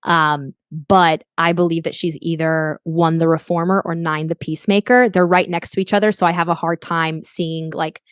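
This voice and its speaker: Earnest woman coach, lower voice. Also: steady tone, moderate pace, hardly any pauses, clean tone, no room sound, clean studio sound. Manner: earnest, reflective, frequent restarts. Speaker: guest life coach. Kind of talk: podcast interview.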